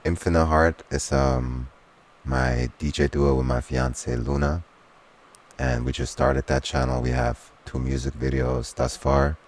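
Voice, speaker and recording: A man's voice: extremely low pitch, steady tone, measured pace, a little mellow. A man from Switzerland whose first language is Swiss German, talking earnestly as an interview guest and using spiritual turns of phrase. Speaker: guest, soul singer and DJ. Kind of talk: casual conversational interview.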